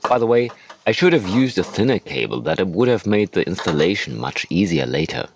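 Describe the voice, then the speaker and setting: A man's voice: deep voice, very animated, a little fast, gravelly, a little hiss or hum. A man narrating a hobby tutorial as a voice-over, hosting his own how-to video.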